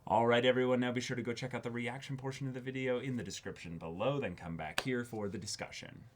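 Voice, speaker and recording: A man's voice: medium pitch, fast talker, a little hiss or hum. A man co-hosting a casual anime reaction podcast, talking in loose, trailing phrases. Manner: animated, trailing restarts. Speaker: podcast co-host.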